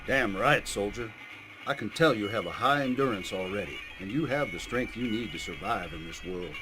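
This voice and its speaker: A man playing a gruff, patriotic, hot-tempered coach who speaks like a recruiter in a video game. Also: fairly low pitch, animated, moderate pace, music in the background, natural voice. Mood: stern, persuasive. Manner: rousing, commanding, profane.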